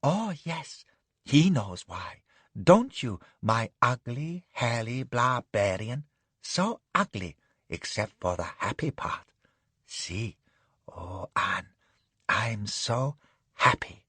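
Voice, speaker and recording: A man voicing a drowsy, capricious young imperial concubine who purrs her words slowly. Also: medium pitch, sing-song, pushed and bright, husky, no room sound, exaggerated voice. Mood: purring teasing.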